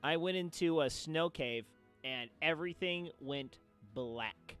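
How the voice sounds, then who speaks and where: Male game streamer, fairly high voice, theatrical emphasis, casual storytelling, faint music behind. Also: takes it slowly, fairly bright tone, clear, resonant tone.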